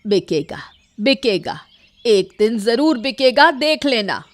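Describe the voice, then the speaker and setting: A woman's voice: a little high, big pitch swings, takes it slowly, soft background music. A woman voicing a stubborn, defiant old village mother-in-law in a cartoon story.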